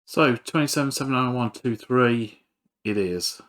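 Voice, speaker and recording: A man's voice: medium pitch, unhurried, fairly bright tone. A man giving casual, hands-on repair commentary as he works, hesitant and thinking aloud. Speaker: electronics repairer presenting a video.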